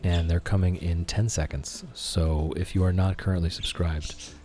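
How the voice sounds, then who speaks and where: A man hosting a calm, soothing game livestream, chatting gently and in a friendly way with his viewers. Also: low voice, moderate pace. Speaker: game streamer, host. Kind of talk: calm, soothing livestream chat over gameplay.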